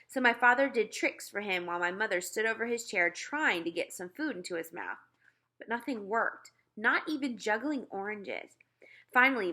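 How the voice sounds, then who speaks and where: Bright-voiced woman reading a children's book. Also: medium pitch. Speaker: read-aloud narrator. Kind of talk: expressive reading of a children's novel.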